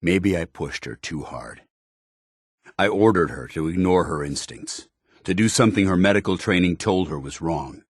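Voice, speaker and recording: An American man voicing a stern, earnest, duty-bound military commander in a video game, speaking in formal, measured phrases. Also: low voice, lively intonation, breathy edge, dry recording, studio quality. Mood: somber, confiding. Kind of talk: video game companion dialogue.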